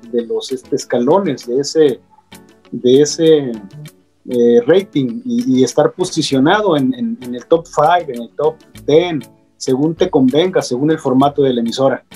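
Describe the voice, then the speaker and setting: A man speaks as a panel guest in a dark, husky, mid-pitched voice. He explains in a halting way, repeating words as he searches for the next one. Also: faint music behind. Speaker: radio professional, panel guest.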